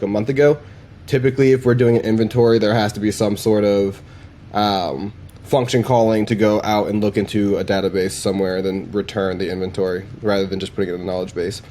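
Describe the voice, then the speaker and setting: A man's voice: lowish voice, warm tone. A man giving a casual product demo in an informal, conversational way. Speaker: presenter demonstrating AI voice assistants.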